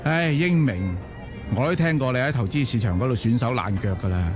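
An actor playing a world-weary elder brother with a Hong Kong Cantonese accent, brisk and matter-of-fact in radio drama style. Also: male voice, medium pitch, animated, music in the background, lightly acted. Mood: impatient, weary.

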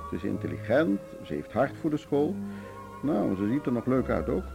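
An actor in a Dutch radio drama playing a diplomatic male board chairman, urbane, measured and persuasive. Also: mid-range voice, very animated, vocal fry, over music. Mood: persuasive, soothing. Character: diplomatic, suave male board chairman smoothing things over.

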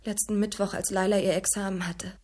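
A woman's voice: mid-range voice, level tone, brisk, a little mellow, natural voice. An actress playing a troubled young woman in a radio drama, confiding earnestly and hesitantly. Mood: uneasy, confiding. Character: troubled young woman, ashamed of her brother.

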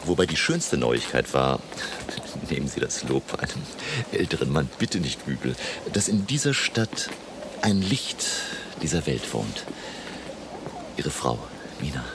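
An actor playing a courteous, earnest older professor in a radio play, speaking in a deliberate, courtly way. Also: male voice, extremely low pitch, very animated, background noise, full character voice. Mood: warm, animated. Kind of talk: German radio play acting.

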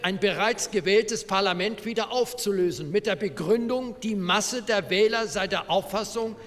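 An actor playing a deliberative 1940s German parliamentarian, arguing formally and carefully in a speech. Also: male voice, sits high, fairly bright tone. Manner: formal, measured argument. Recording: long hall reverb.

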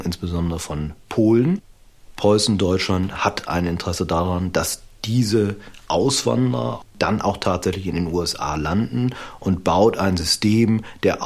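A man explaining history as an interviewed expert, deliberate and emphatic, with academic phrasing. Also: low voice.

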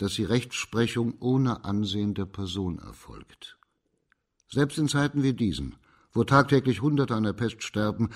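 An actor playing a stern, dignified district judge in a radio drama, speaking formally and with measured authority. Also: male voice, medium pitch, lightly acted.

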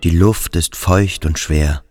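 An actor playing a sensitive, withdrawn cadet in a radio drama, musing in an introspective way. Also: male voice, sits low, slow. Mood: brooding. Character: brooding, sensitive cadet Törleß, withdrawn and moody. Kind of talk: German radio drama, inner monologue. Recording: music under the voice. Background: music.

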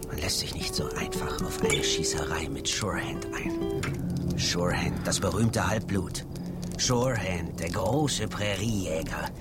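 A calm and stern frontier scout speaks low and tersely. He sounds solemn and instructive in a western radio drama, with loud music and animal sounds behind him. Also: a little slow, exaggerated voice. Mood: hushed, admonishing. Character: calm, stern male frontier scout, medicine man's son. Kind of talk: radio play acting.

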